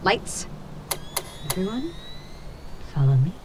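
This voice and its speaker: A woman's voice: on the low side, big pitch swings, takes it slowly, background noise. An actress playing a terse, impatient female commander of a space mission in an audio drama. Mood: brisk, commanding.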